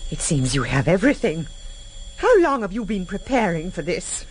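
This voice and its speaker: An actress playing a shocked, defiant wife in a radio drama, challenging and disbelieving. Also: female voice, on the low side, big pitch swings, normal speed. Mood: defiant disbelief. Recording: vintage radio recording, faint background noise.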